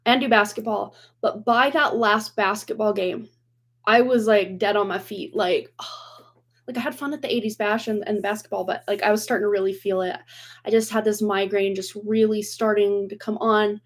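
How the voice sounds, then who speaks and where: A woman in her forties hosting a tarot livestream, chatting casually and rambling with frequent laughs and restarts, her voice a little worn from recent voice loss. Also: mid-range voice. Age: 40s. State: recovering from lost voice, slightly worn.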